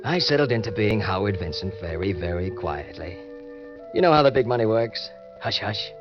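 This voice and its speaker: An actor playing a greedy, scheming young impostor who confides like a hard-boiled narrator in an old-time radio crime drama. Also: male voice, fairly low pitch, expressive, moderate pace, lightly acted. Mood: confiding, smug. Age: young adult. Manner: colloquial, confiding tough-guy storytelling. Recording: muffled vintage radio recording with music.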